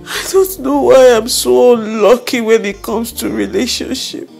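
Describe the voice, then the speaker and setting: A woman acting a heartbroken, self-doubting young woman in a drama, in a plaintive, drawn-out way. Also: fairly low pitch, big pitch swings, creaky, husky, soft background music, heavily acted. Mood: heartbroken, tearful. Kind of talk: naturalistic film drama acting.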